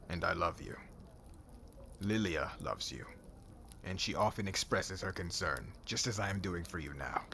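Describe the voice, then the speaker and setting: Deep, forceful man acting a stern young king. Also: gravelly, a little hiss or hum, heavily acted. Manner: formal, commanding, measured, uncontracted speech. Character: stern regal young warrior king, earnest brotherly friend. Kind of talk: ASMR audio roleplay drama.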